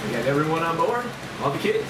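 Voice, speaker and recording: Man reading an excitable helicopter captain, swooping pitch. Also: quick pace. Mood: excited. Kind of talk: amateur screenplay table read. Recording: echoey noisy room, distant from mic.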